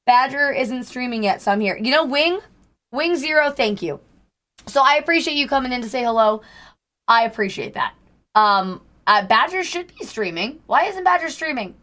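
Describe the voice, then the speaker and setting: A hoarse woman streamer chatting casually with her viewers in a put-on Southern US accent, greeting and thanking them by name. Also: high voice, expressive, moderate pace, bright, forceful tone. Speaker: livestreamer. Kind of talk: casual livestream chat with viewers.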